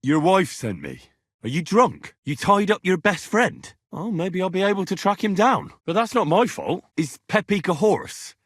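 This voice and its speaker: An earnest young medieval commoner for a video game. His voice is husky and swings expressively, with a cheeky, dogged, bantering way of speaking. The recording is close and dry. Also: high-pitched, sing-song, normal speed, a bit bright, dry recording, full character voice. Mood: exasperated. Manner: colloquial, questioning, bantering. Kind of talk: video game character dialogue.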